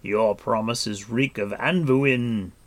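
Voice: medium pitch, big pitch swings, takes it slowly, vocal fry, slightly rough, voice pushed up, exaggerated voice. A narrator voicing a proud, defiant male warrior lord for an audiobook, declaiming with force and scorn. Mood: scornful. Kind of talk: audiobook character voice.

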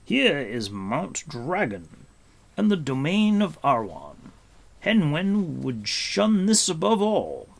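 A man voicing a wise, commanding warrior in a storybook, grave and patient, speaking formally and with deliberate emphasis. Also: fairly high pitch, wildly swooping pitch, gravelly, voice pushed up, full character voice. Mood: grave, instructive.

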